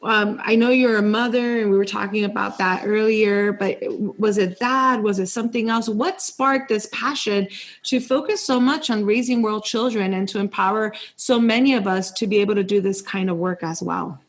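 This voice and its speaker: Woman host, mid pitch, lots of ums, asks long careful questions. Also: normal speed. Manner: thoughtful, hesitant with ums. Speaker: podcast host. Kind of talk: interview questions.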